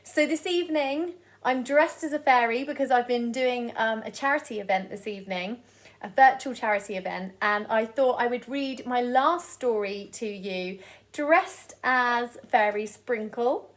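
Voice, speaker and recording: A woman reading aloud to children as a storyteller, in an expressive style. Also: on the high side. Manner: deliberate, sing-song delivery of rhyming verse.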